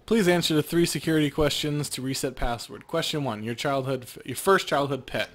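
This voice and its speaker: A man's voice: on the high side, fairly quick, fairly bright tone. A man co-hosting a let's play, switching between casual chat and reading game text aloud. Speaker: actor.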